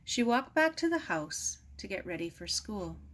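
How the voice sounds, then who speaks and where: A woman reading a children's novel aloud as expressive scripted narration. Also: mid-range voice, moderate pace. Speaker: audiobook reader.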